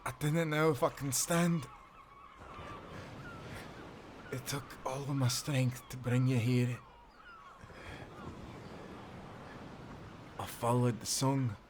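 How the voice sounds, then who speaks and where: An actor playing an earnest, protective sailor with old-fashioned nautical talk, in a cinematic audio roleplay. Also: male voice, mid-range voice, fairly even intonation, moderate pace, background noise, lightly acted. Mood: weak, exhausted. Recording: loud ocean waves and surf. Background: sea waves, storm ambience.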